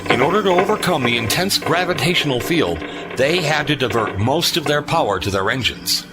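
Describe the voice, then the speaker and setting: Confident starship captain man acting, commanding, expressive delivery, sci-fi audio drama over loud music. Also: mid-range voice, lightly acted. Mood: calculating. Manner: measured explanations, firm commands. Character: confident, shrewd male starship captain, steady under pressure.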